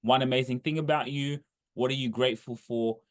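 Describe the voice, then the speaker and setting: A man's voice: medium pitch, steady tone, fairly quick. A man hosting a podcast, asking long, rambling questions with encouraging restarts. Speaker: podcast host. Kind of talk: casual podcast interview.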